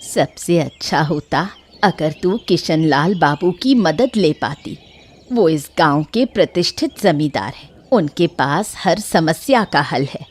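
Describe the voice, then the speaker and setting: A woman's voice: sits low, expressive, takes it slowly, pitched below the performer's own voice, full character voice. A woman voicing a kindly, affectionate elderly village woman in a moral story, with music behind. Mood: concerned, affectionate.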